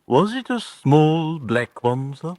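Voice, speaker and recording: Deferential manservant. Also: male voice, fairly high pitch, big pitch swings, slow, darkish tone, no room sound. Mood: deferential, uneasy. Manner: deferential, colloquial. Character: nervous, deferential British manservant, plain-spoken and loyal. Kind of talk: radio drama acting.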